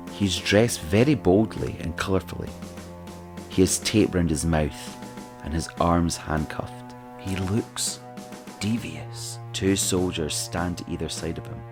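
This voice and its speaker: A man reading scripted narration for an audio drama, describing the scene as narrator. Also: low-pitched.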